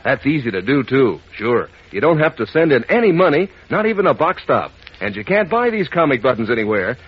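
An American man delivering a folksy, enthusiastic 1940s radio advertising pitch. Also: big pitch swings, a little fast, slightly rough. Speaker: commercial announcer. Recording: muffled old radio broadcast.

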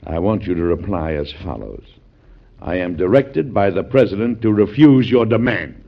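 Resolute president, lively, oratorical delivery. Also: male voice, low voice, normal speed, gravelly. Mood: firm. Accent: American. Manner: formal, emphatic, oratorical. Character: resolute, principled male American president in a history drama. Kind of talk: old-time radio drama acting. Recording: dull, lo-fi classic radio recording.

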